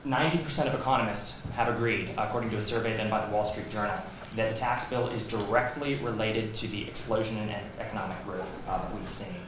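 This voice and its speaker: A man's voice: lowish voice, a bit bright. A young man arguing an economic point in a debate, earnest and full of fillers. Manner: earnest, with many fillers and restarts. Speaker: student debater. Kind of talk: debate argument. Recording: echoey hall with faint noise.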